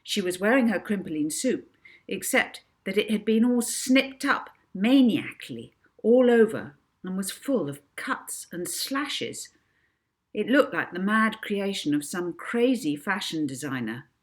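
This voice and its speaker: A woman's voice: medium pitch, big pitch swings, unhurried. A woman author narrating her own children's book, with casual asides to the listener.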